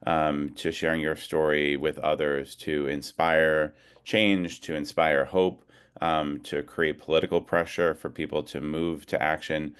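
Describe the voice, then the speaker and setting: A man with an extremely low, clear and resonant voice gives an informal advocacy talk over a video call. He speaks reflectively, feeling his way through his points with frequent hesitations. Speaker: advocacy organization leader, guest speaker. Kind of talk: informal talk at an online rally.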